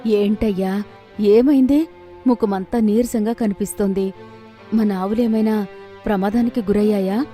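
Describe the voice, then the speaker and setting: A woman's voice: medium pitch, fairly even intonation, unhurried, pauses often, mellow, faint music behind, close to an everyday voice. A woman voicing a caring, motherly village wife with a homely, solicitous manner in a storybook tale. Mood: worried.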